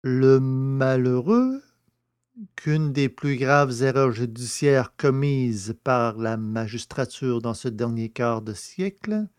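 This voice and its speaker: A man playing a naive, self-pitying poacher in prison, easily flattered and grandiose, in a theatrical, exclamatory audio drama style. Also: mid-range voice, smooth. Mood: solemn reading. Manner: plaintive, exclamatory, drawn-out words. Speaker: actor in an amateur audio drama.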